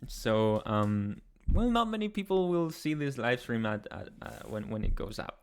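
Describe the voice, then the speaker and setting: A young man from Chile with a Chilean Spanish accent, hosting a casual livestream and answering chat in a soft, hesitant way with many pauses. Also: medium pitch, sing-song, clean tone. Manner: soft-spoken, hesitant, many ums and restarts. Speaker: ASMR channel host. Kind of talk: casual livestream chat, reading viewer comments.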